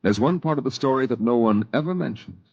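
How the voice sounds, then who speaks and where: A man narrating a 1950s radio drama as a grown man recalling his youth, in a measured, reflective way. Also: mid-range voice, animated, gravelly, a little mellow, breathy edge, no room sound. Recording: dull, muffled old radio broadcast.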